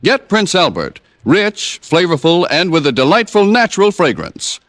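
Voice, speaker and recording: An American man pitches a product in old-time radio commercial style. His voice is on the high side and very expressive, recorded dry. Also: moderate pace, no echo. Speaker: commercial announcer. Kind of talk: 1950s scripted tobacco commercial.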